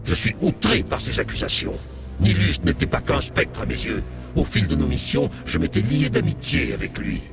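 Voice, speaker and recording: An actor playing an arrogant, contemptuous alien agent in a French video game, with a gravelly, haughty, theatrical delivery. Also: male voice, lowish voice, wildly swooping pitch, normal speed, full character voice. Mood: cold indignation. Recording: loud music underneath.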